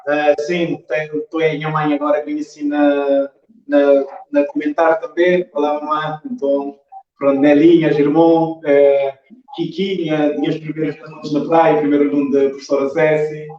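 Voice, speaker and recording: A man's voice: on the high side, level tone, normal speed, soft background music. A man chatting casually as an interview guest. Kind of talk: casual chat. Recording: muffled, roomy, distant online call.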